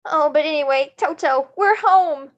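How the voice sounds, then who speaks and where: Woman playing an earnest, very high-voiced young farm girl. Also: normal speed, highs cut off, close to an everyday voice. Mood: tender, yearning. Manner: pleading, emphatic. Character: Dorothy, earnest young farm girl, tearful and wide-eyed. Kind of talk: screenplay table read.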